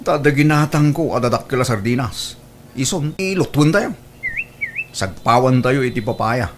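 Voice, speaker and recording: Actor as easygoing stingy son, radio comedy. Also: male voice, mid-range voice, expressive, normal speed, noisy background, natural voice. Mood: wheedling, reluctant. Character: easygoing, stingy son fond of his chickens. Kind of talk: Ilocano radio drama comedy acting.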